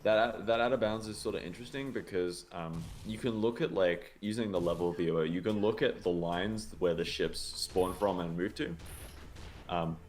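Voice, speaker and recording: A man's voice: deep voice, normal speed. A man explaining his own gameplay video in casual chat, rambling with lots of likes and frequent laughs. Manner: rambling, filler-heavy with many likes, laughs often. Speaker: guest, speedrun video creator.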